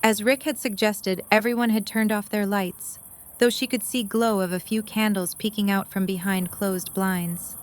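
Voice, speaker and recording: A woman's voice: mid-range voice, fairly even intonation, moderate pace. A woman reading scripted story narration for an audio drama.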